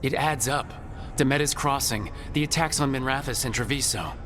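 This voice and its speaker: A man's voice: mid-range voice, normal speed, fairly bright tone, a little hiss or hum, close to an everyday voice. A man playing an earnest hero in scripted fantasy game dialogue. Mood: troubled, weary. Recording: long reverb, music behind.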